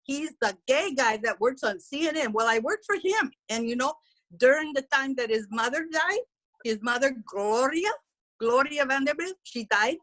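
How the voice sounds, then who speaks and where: A woman doing a loud, gossipy housekeeper who dishes on her celebrity bosses in blunt, broken English. Her voice sits a little high for a woman, chatty and unfiltered. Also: expressive, normal speed, rough recording. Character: brash middle-aged Mexican woman housekeeper, gossipy. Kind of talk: character stand-up comedy.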